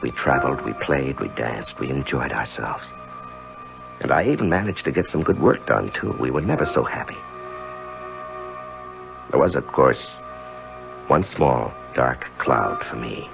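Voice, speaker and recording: An actor playing an anxious husband haunted by voices, in 1970s radio drama style, with a gravelly, hesitant delivery. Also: male voice, a bass voice, expressive, a little slow, long pauses, exaggerated voice. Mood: brooding, confiding. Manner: hesitant, evasive, brooding first-person narration. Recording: dull 1970s radio recording, music behind.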